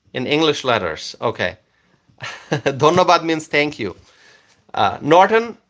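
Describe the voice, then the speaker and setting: A man's voice: on the high side, fairly bright tone. A man whose first language is Bengali, casually chatting on a livestream and reading out viewer comments, with frequent fillers and repeated words.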